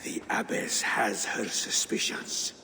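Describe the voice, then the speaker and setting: An actor playing a courteous, worried lord in a video game, formal and a little hesitant. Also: male voice, slow pace, bright, forceful tone. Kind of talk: dramatic video game acting. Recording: reverberant hall, faint rumbling noise.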